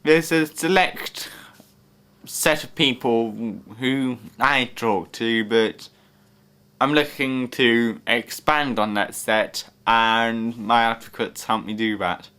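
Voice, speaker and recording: A man's voice: medium pitch, steady tone, takes it slowly, sharp tone, clean tone. A young man with an English accent speaking earnestly and a little hesitantly about the help he gets, with frequent fillers. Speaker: young person using the service. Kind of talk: interview testimony.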